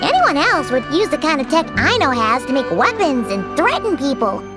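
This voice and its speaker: A woman voicing a small chirpy fairy companion in a video game, with a squeaky childlike voice, curious and earnest. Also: big pitch swings, moderate pace. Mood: earnest, puzzled. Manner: refers to self in third person, exclaiming. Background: music.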